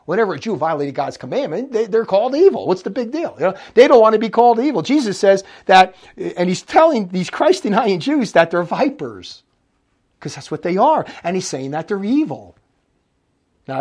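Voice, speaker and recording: A man preaching in a recorded lecture, his voice pitched high for a man. He talks emphatically and slows down to read scripture aloud. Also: a little mellow.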